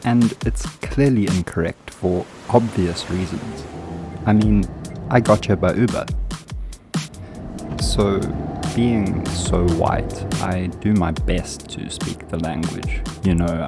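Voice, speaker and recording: A man from South Africa with a South African accent, giving a comedic scripted narration with dry, deadpan delivery. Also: sits low, slow pace, vocal fry. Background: music.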